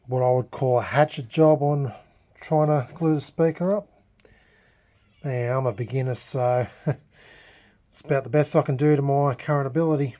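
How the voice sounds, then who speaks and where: Australian male hobbyist vlogger, relaxed dark tone, hesitant and unscripted delivery. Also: medium pitch. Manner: halting, hesitant, unscripted delivery with many pauses. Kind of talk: casual restoration progress vlog.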